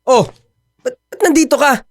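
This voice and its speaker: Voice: high-pitched, normal speed, dry recording. A performer playing an irritable, aloof young Filipino man in a radio drama, curt and dismissive. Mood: annoyed, dismissive.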